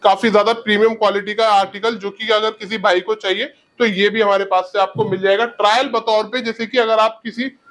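A young man from India in a shoe showroom, giving a fast sales pitch on a lapel mic. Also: flat delivery, a bit bright. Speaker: shoe seller. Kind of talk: product showcase.

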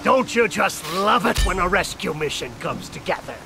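A suave, scheming villain leader, polished and sure of himself. His voice is gravelly and lively. Game music sits behind. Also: high voice, moderate pace.

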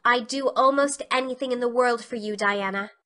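A woman's voice: on the high side, moderate pace, sharp tone. A woman voicing a proud, stubborn young schoolgirl with an earnest, melodramatic manner in an audiobook. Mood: tragic sorrow. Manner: earnest, dramatic. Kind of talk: audiobook character dialogue.

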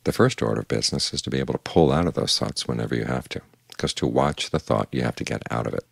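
An older man, a Buddhist monk, giving a calm, measured evening meditation talk in plain words. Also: very deep voice, vocal fry, slightly rough, no echo.

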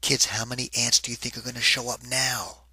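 A man giving an interactive children's read-aloud in a deliberate, singsong rhythm, like a teacher with a picture book. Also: medium pitch, level tone, pushed and bright, husky, no echo.

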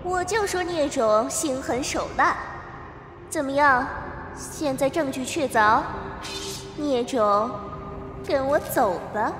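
A woman's voice: sits high, animated, slow pace, pauses often. An actress playing a scheming, mocking demon villainess in a costume radio drama, drawing out her taunts. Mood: mocking accusation. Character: gloating, scheming female blood-demon villain. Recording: echoey hall reverb, loud music behind.

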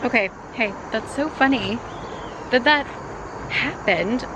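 A young woman on a forest trail speaks slowly and softly into a phone at arm's length. Her voice is congested and a little hoarse from a cold, and it sits slightly high. Music plays underneath. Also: low-quality recording. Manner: quiet, hushed and slightly hoarse. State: congested with a cold, voice partly lost. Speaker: vlogger. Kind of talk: casual vlog talk to camera. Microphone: phone held at arm's length.

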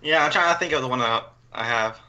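A young man chatting casually while gaming, explaining things in a halting, rambling way. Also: mid-range voice, dull audio, crowd noise. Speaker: gaming storyteller.

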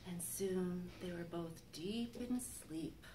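A middle-aged woman, a children's librarian, reading a picture book aloud to young children in an expressive, sing-song way. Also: fairly low pitch, takes it slowly. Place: library reading area with magazine racks, indoors. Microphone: camera microphone a few feet away. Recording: faint background room noise.

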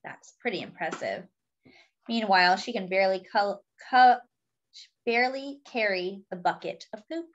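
A woman reading a picture book aloud to young children and commenting on the pictures, expressively and with pauses for emphasis. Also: medium pitch, expressive, lo-fi sound, a little hiss or hum. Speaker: children's storybook reader.

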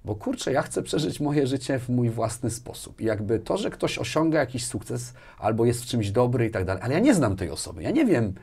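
Guy in his 40s chatting as an interview guest, emphatic, lots of tag questions, mid-pitched voice. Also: big pitch swings, fairly quick, gravelly, hardly any pauses, warm tone, slightly rough. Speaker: interview guest, business executive. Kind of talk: casual interview conversation. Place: small indoor studio with armchairs and bookshelves. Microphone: lapel mic.

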